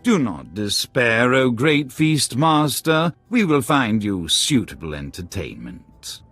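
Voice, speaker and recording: A man's voice: mid-range voice, big pitch swings, slow pace, fairly bright tone, exaggerated voice. An actor playing a formal, courtly alien man who speaks for a crime boss in florid phrases in a video game. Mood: grave, measured. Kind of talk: video game character acting. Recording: music under the voice.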